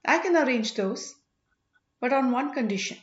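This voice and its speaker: A proud, strong-willed young princess acted by a woman reader speaks in a fairly high voice. She is indignant yet devoted, in audiobook dialogue recorded in an echoey room with slightly dull sound. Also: expressive, moderate pace. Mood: firm.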